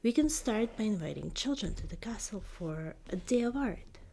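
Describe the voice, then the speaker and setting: A woman voicing an earnest, gentle young princess in a soft, whispered ASMR storybook reading. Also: medium pitch, animated, a little hiss or hum, voice pushed up, lightly acted. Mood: encouraging. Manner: soft, slow, careful delivery.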